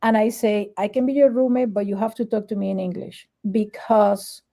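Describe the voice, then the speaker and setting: A woman's voice: medium pitch, normal speed, creaky, mellow. A middle-aged woman from Venezuela with a Venezuelan Spanish accent, a native Spanish speaker, chatting earnestly as an interview guest and repeating her key points. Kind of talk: casual interview chat.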